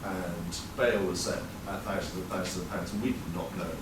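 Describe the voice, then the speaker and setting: A man's voice: low-pitched, fairly even intonation, normal speed, noisy background. A man in his thirties from England telling a personal story to a live audience, conversational and wry, with plenty of fillers. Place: performance venue before an audience. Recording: roomy live sound, mic far off.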